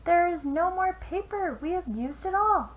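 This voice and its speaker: A woman voicing small, excitable paint scribble creatures from a storybook, plaintive and exclaiming. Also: extremely high pitch, normal speed, pitched above the performer's own voice. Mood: alarmed, plaintive. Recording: noisy home recording.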